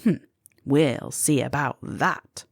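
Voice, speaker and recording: A woman voicing a small, plucky young female moth in a children's storybook, with gasps and excited exclamations. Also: very deep voice, slow pace, creaky, no room sound, studio quality, pitched below the performer's own voice, full character voice. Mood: determined.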